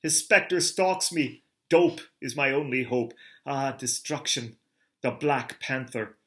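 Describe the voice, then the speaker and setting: A man's voice: medium pitch, slow, a bit bright. A man voicing a guilt-wracked murderer in a melodramatic literary reading, with wild theatrical outbursts. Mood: tormented.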